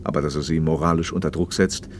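An actor playing a paternal, probing factory manager in a radio play, speaking in a measured, slightly didactic way. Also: male voice, rumbling low, steady tone, a little hiss or hum. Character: socialist factory manager, paternal, probing, talkative male official. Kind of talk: naturalistic German radio play dialogue.

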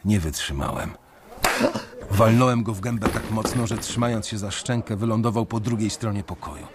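A man's voice: fairly low pitch, normal speed, heavy background noise. A man narrating a radio drama in the first person, tense and brooding. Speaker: narrator and lead character.

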